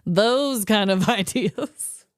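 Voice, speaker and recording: A woman co-hosting a podcast in casual comedic banter, quick to react and animated. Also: medium pitch, no echo, clean studio sound. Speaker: podcast co-host.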